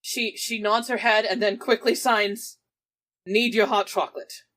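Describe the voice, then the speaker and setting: A woman's voice: medium pitch, steady tone, a little slow, fairly bright tone. A woman playing a tabletop roleplaying game, casually narrating and speaking for her character.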